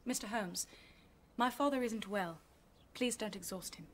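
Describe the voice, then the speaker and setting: A woman's voice: mid-range voice, a little slow, close to an everyday voice. An actress playing a strong-willed, protective daughter in her thirties in radio drama, polite but firm. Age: 30s. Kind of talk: British radio drama acting.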